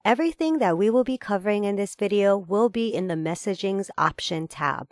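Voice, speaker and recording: A woman reading a scripted instructional voice-over in a measured, formal, step-by-step manner. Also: medium pitch, expressive, dry recording, clean studio sound. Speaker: tutorial narrator.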